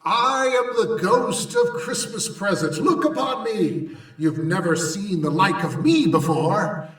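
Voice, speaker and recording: Jovial giant spirit for radio play: high, sweeping voice, grand and commanding, echoing. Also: male voice, big pitch swings, takes it slowly, a bit bright, distant mic, full character voice. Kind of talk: old-time radio drama acting.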